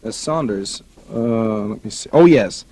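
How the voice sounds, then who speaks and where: An actor with an American accent playing a casual, calculating, scheming husband in a 1940s radio thriller. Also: male voice, fairly low pitch, animated, unhurried, warm tone, husky, heavily acted. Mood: falsely solicitous. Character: smooth-talking, scheming husband plotting murder. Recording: noisy old radio recording.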